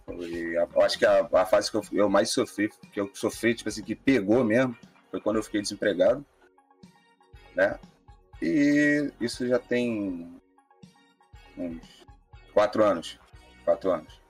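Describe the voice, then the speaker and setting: A man's voice: on the low side, a little slow, long pauses, slightly rough, soft background music. Laid-back man. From Mesquita, Rio de Janeiro state, Brazil. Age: 30s. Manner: relaxed, slangy, laid-back with many fillers. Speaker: podcast guest. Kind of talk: casual interview chat.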